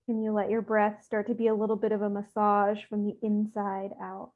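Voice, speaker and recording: A woman with a mid-pitched voice guides a meditation through narrow, phone-like audio. She speaks gently and evenly, leaving pauses between soft instructions. Her delivery is soothing and unhurried, with little rise and fall. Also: completely flat delivery.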